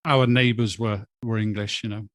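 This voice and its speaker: A man, a guest on a podcast, speaking in a measured, thoughtful way with hesitant starts. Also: lowish voice, fairly even intonation, fairly bright tone, no echo, studio quality. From Wales. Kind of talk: casual podcast chat.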